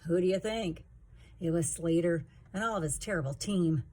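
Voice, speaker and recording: A woman's voice: lowish voice, normal speed, dark tone. A woman reading a rhyming children's story aloud in a theatrical, emphatic way. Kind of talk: expressive rhyming storybook narration.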